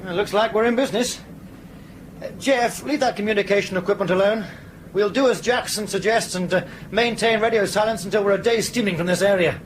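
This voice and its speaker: Actor as high-voiced, firm airline captain. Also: male voice, a little fast, slight background noise, natural voice, poor audio. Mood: firm command. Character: airline captain commanding a seized ship, firm, dry, principled. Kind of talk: radio drama acting.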